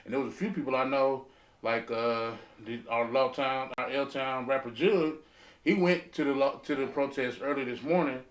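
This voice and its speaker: A man's voice: mid-range voice, fairly even intonation, normal speed. An American man talking casually and emphatically in a round-table discussion, repeating phrases for stress. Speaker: podcast panelist. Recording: roomy echo, distant from mic.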